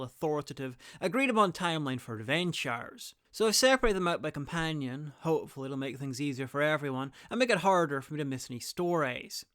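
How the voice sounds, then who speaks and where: A man presents a scripted guide in a smooth, fairly high male voice, recorded close and dry. He slips in opinionated, deadpan asides as he narrates. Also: no room sound. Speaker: video presenter and reviewer.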